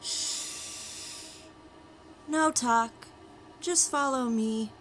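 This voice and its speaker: An audio drama guard in a futuristic prison, acted by a woman. She speaks extremely slowly in a flat, fairly high voice, giving terse orders as if nothing could bother her, with soft music behind her. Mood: flat, commanding. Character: tall shambling sedated female prison guard, dull and unbothered.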